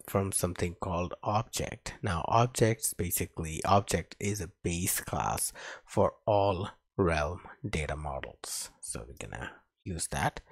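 A man narrating a coding tutorial as an instructor, speaking haltingly as he types along, with a husky voice. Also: sits low, no echo, studio quality. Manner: halting, talks while typing. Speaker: programming instructor.

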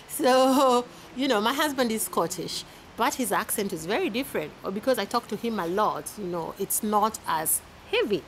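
A woman in her thirties from Kenya with a Kenyan accent, giving casual advice to camera in a chatty, animated way, filmed outdoors in a garden. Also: medium pitch, unhurried, a little hiss or hum, rough recording. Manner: chatty, animated, laughs often, with repeated restarts. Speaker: vlogger. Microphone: camera at arm's length.

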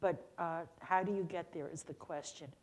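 A woman's voice: lowish voice, normal speed, darkish tone. An older woman speaking emphatically as a panelist on a lecture hall stage. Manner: emphatic, explanatory. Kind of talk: panel discussion.

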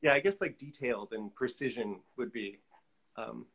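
A man's voice: mid-range voice, moderate pace, creaky, fairly bright tone, husky. A man, chatting casually as an interview guest on a video call. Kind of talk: casual chat about own work. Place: room at home, video call.

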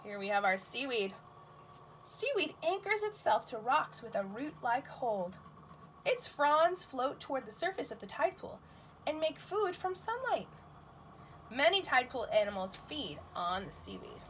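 A woman teaching nursery school, reading a picture book aloud to young children in an expressive, questioning manner, with exclamations. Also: sits high, measured pace, a little hiss or hum.

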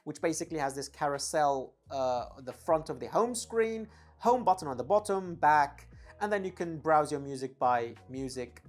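Low-pitched, husky woman reviewing audio gear. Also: expressive, normal speed, a little mellow, soft background music. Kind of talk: casual product review talk.

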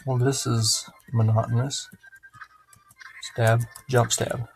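A man's voice: mid-range voice, flat delivery, takes it slowly, gravelly. A man giving casual, rambling gameplay commentary, swearing and muttering to himself as he plays. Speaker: gaming video host. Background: video game music.

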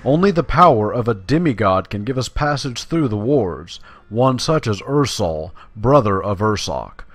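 A man's voice: on the low side, animated, normal speed, few pauses. Chatty, playful man commenting on a game. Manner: chatty, playful, slangy, musing to himself. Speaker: video game player and commentator. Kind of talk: casual gameplay commentary with quest text read aloud.

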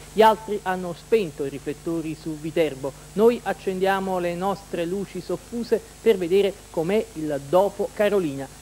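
A man's voice: sits high, darkish tone, rough recording. A middle-aged man presenting sports news from a TV studio. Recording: hissy old TV recording.

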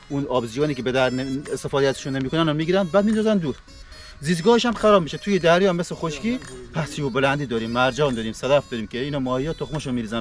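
A man, a local fisherman explaining things unscripted outdoors on the shore. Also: a little high. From southern Iran. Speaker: local fisherman and boat captain. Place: outdoors on a tidal seashore. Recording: music over the voice. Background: loud music.

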